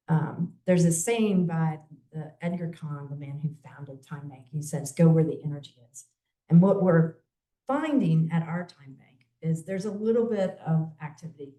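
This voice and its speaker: Low-voiced woman in an echoey room. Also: mellow. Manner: conversational, with filler ums. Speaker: community volunteer speaker. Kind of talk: informal community talk with Q&A.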